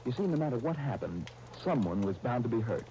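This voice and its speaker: A man's voice: medium pitch, very animated, brisk, mellow, rough recording. A man with an American accent announcing in the sponsor style of 1930s radio. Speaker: radio announcer and show host. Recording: hissy 1939 radio broadcast disc.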